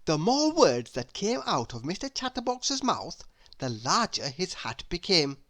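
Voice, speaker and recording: A woman narrating a children's story aloud in an expressive storytelling style. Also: lowish voice, unhurried.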